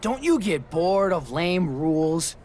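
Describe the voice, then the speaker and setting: An actress playing a playful schemer in a video game, coyly teasing and goading a wrestler on. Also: female voice, lowish voice, sing-song, slow pace, darkish tone. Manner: coy, teasing. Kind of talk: scripted video game cutscene dialogue.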